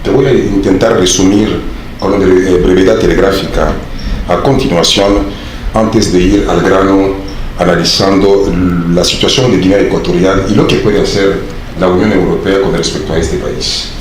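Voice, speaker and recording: Low-voiced man lecturing in a very echoey hall. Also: steady tone, a little hiss or hum. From Democratic Republic of the Congo. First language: Swahili. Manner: measured lecturing with frequent hesitations. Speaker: academic speaker. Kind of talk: formal conference speech. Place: large conference hall.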